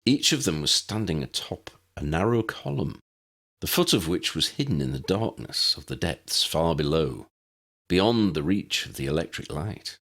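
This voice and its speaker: Deep-voiced man narrating a story. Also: animated, moderate pace, fairly bright tone. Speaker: audiobook narrator.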